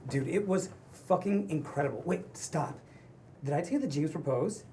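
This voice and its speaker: A woman's voice: deep voice, lively intonation, moderate pace. A woman playing a flamboyant, gushing male waiter in his thirties in a table read of a pilot script. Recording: distant microphone with loud room noise.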